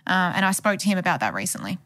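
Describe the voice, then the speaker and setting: A woman's voice: lowish voice, sing-song, very fast, a bit bright, dry recording. An Australian woman explaining a case as a journalist in a conversational true crime podcast style.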